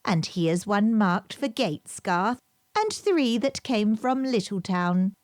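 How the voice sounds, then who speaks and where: A woman plays a little hedgehog washerwoman in a children's storybook. She is obliging and fussy, chattering deferentially in old-fashioned country phrases with animated swings of pitch. The sound is close and dry. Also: takes it slowly, no room sound. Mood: busy, obliging. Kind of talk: storybook character reading.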